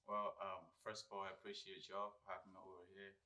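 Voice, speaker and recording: Bright-voiced Liberian man, relaxed and laughing. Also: deep voice, a little fast, muffled recording. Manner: relaxed, laughs often, restarts words. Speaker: guest musician. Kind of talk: casual interview chat.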